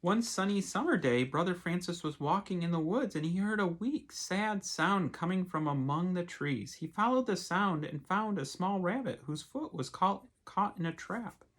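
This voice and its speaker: Husky male pastor with lively, expressive pitch. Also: moderate pace, hardly any pauses. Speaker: pastor reading a children's story. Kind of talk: expressive storybook read-aloud with casual asides.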